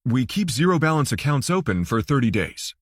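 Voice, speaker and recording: Narrator doing a formal, guarded bank man, husky, dry close sound, polite but firm. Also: medium pitch, sing-song, fairly quick, dry recording. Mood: courteous, discreet.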